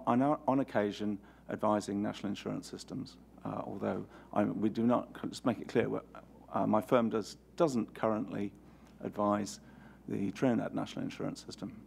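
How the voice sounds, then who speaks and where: An older man giving formal testimony to a committee as an actuary, measured and hesitant with many restarts. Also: lowish voice, gravelly, darkish tone, slight background noise. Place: parliamentary committee room.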